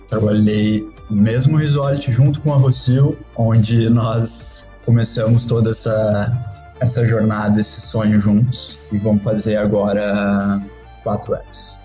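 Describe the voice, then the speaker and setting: Calm thirties man, mellow voice, roomy sound. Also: medium pitch, muffled recording. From southern Brazil. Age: 30s. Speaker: podcast guest. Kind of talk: casual interview chat.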